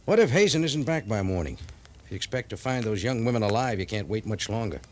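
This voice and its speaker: An actor playing a grave, commanding cavalry major in a 1950s radio western, formal and authoritative. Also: male voice, fairly low pitch, expressive, fast, lightly acted. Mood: grave, decisive. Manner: formal, weighing words, authoritative. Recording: faintly noisy 1950s radio recording.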